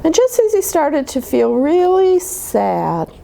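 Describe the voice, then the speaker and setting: Female picture-book reader, higher-pitched and slow-paced, for children's storytime; noisy recording. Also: gravelly. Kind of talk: animated picture book read-aloud. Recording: noticeable steady background noise.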